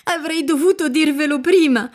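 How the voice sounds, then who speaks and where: A woman voicing a devout, tearful young village woman who speaks gently and pleadingly. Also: sits high, normal speed, voice pushed up, close to an everyday voice. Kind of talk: audiobook character reading.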